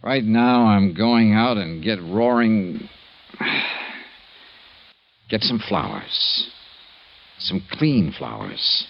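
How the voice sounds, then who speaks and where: A man's voice: lowish voice, sing-song, drawn-out words, creaky, long pauses, slight background noise, heavily acted. A man playing a tough, world-weary insurance investigator in 1950s radio drama style. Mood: bitter, weary. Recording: muffled vintage radio recording, faint music.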